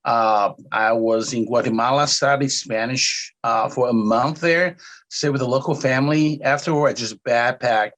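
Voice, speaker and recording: Man, relaxed interview guest. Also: medium pitch. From Taiwan. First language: Cantonese. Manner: relaxed, with frequent "uh" pauses. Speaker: podcast guest. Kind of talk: casual interview answers.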